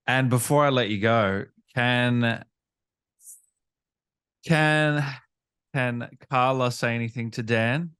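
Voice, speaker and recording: A man's voice: medium pitch, slow pace, pauses often, fairly bright tone, clean tone. A man hosting a casual interview in a polite, appreciative manner. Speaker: interviewer, host.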